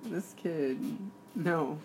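A woman's voice: deep voice, expressive, very slow, a crowd in the background, low-quality recording. A young adult woman, a podcast co-host, chatting casually. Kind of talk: casual chat.

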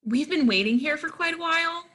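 Woman playing a folksy, bright, lively young hopeful. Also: sits high, fairly quick. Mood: earnest. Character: plain-spoken, friendly, naive young woman hoping to model. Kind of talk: readers theatre play reading.

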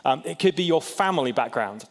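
A man's voice: fairly high pitch, animated, a little fast. A man in his thirties, raised in Hong Kong, preaching a chatty sermon in a church and asking the crowd questions with self-deprecating humor. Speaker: pastor. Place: large church hall. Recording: long hall reverb.

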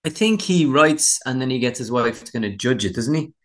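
A man's voice: mid-range voice, animated, a little fast. An Irish man, a musician being interviewed, chatting casually in a candid, rambling, self-deprecating way. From Dublin, Ireland. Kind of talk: casual chat.

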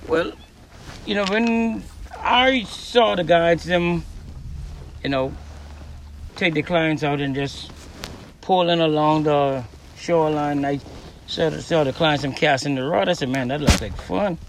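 A man from the Bahamas with a Bahamian accent, a fishing guide speaking in an interview, relaxed and rambling with lots of "you know.". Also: on the high side, measured pace, long pauses, distant mic, a little hiss or hum. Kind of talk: recorded interview on a boat. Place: outdoors on the water by boat.

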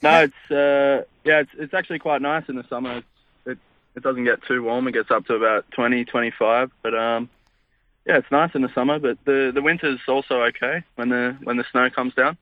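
Young man, phone guest, tinny line, flat delivery, relaxed. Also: medium pitch, fairly quick, dry recording. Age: young adult. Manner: easygoing, laughs, hesitant fillers.

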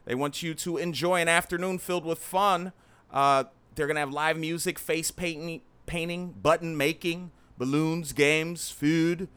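A man hosting a casual radio chat show, upbeat and friendly, giving shout-outs. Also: fairly high pitch, fairly even intonation, a little slow, clear, resonant tone.

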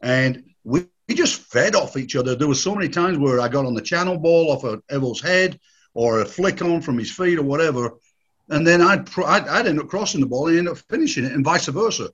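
Husky middle-aged man, rambling and nostalgic. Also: fairly high pitch, lively intonation, a little fast, a bit bright, dull audio. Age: 50s. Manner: rambling, nostalgic, laughs often, many restarts. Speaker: guest, former footballer. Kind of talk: casual reminiscing chat.

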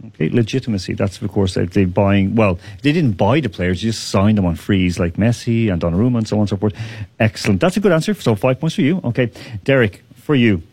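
A man hosting a radio quiz, teasing contestants, laughing often and repeating questions slowly. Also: on the low side, lively intonation, a little mellow, breathy edge, no room sound. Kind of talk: lively radio quiz banter.

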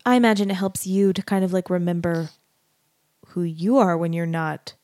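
A woman in her thirties hosting an interview, playful and quick to laugh. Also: on the low side, steady tone, a little mellow, no room sound. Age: 30s. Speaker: podcast host. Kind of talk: casual interview chat.

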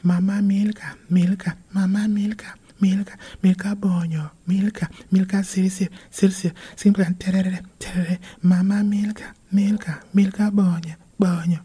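A man's voice: high-pitched, little pitch movement, moderate pace, hardly any pauses, dark tone, breathy edge, voice pushed up. A man voicing a mischievous woman councillor, singing nonsense taunts in an audiobook. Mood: taunting. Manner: taunting sing-song.

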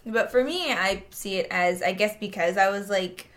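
A young woman from Japan talks as a guest in a casual conversation. Her voice sits at a middle pitch. She is animated, slipping into imitations of people when she quotes them. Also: moderate pace. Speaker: interview guest.

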